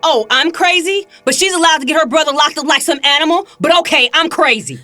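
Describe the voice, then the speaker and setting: High-pitched, furious, combative mother. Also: a little fast, sharp tone, soft background music. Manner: confrontational, biting, profane. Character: furious, combative mother defending her imprisoned son. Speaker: actor in an audio drama. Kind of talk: dramatic audio drama acting.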